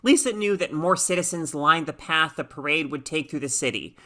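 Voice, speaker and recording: A woman reading a short story aloud as an expressive, dramatic narrator. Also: low voice, normal speed. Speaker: story reader.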